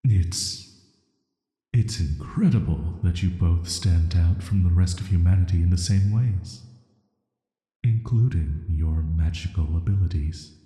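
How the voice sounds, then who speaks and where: A man voicing an ancient banished villain in an audio roleplay, speaking softly, flatly and deliberately with a flirty, dangerous intimacy. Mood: wistful, bittersweet. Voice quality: soft, low voice. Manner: flat, slow, deliberate, intimate. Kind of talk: atmospheric audio roleplay, sleep aid. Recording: echoey voice with long reverb.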